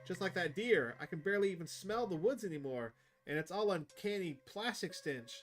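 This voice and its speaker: A man voicing a fastidious, wary talking pet dog in a horror game, with a prim and disgusted manner. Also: high voice, expressive, faint music behind.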